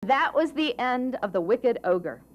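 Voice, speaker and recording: A woman narrating a children's storybook tale for a puppet show with measured, dramatic phrasing. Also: fairly high pitch, expressive, dull audio, a little hiss or hum.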